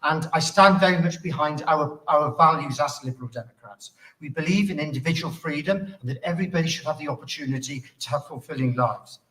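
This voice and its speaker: A man giving a measured, formal closing speech as a political candidate at a hustings. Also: a little high, bright, forceful tone. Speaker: political candidate, local councillor. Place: hustings venue, indoors. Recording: echoey, distant from microphone.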